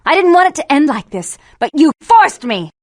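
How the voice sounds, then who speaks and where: High, girlish, taunting vampire vixen. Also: big pitch swings, moderate pace, no echo, studio quality. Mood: triumphant, bitter.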